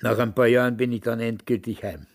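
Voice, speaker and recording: An actor playing a self-satisfied old retired Austrian police major with a Nazi past, smug and rambling, with an Austrian accent in a radio drama. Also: male voice, medium pitch, level tone, a little fast, creaky, close to an everyday voice. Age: older, past retirement. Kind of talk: radio drama acting, reminiscing.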